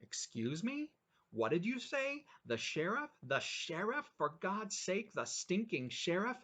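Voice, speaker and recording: A young man boiling over in a diner, his voice jumping high and loud as he rants, sneering and indignant with every line. A man reads him aloud in a storybook reading. His tone stays bright and cutting. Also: exaggerated voice. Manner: shouting, indignant, sarcastic tirade. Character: furious ranting young man in a small-town cafe.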